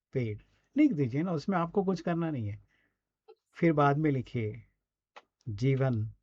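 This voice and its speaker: Indian guy explaining stuff like a teacher, soft dark tone, chatty with little check-ins, kinda muffled audio. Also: mid-range voice, slightly rough, no room sound. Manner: instructive, often adds short check-in tags. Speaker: radio presenter giving a speaking and writing lesson. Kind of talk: conversational teaching talk.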